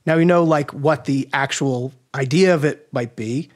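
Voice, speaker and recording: A man's voice: fairly high pitch, normal speed. A man giving casual, agreeable explanations as an expert guest, often posing rhetorical questions. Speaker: guest expert, audio drama maker. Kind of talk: casual explanatory interview talk.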